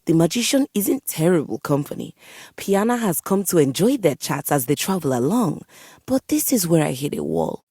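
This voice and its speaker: Proud piano composer, female, low-leaning pitch, breathy husk, theatrical formal diction, haughty, video game character, dry recording. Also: warm tone, no room sound.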